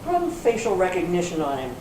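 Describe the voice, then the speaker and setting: A woman reading the part of a brisk, authoritative police sergeant in an amateur readers theater, matter-of-fact in manner. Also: lowish voice, huge pitch swings, mellow. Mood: probing. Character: brisk female police sergeant closing a case. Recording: echoey room from far off, clear noise.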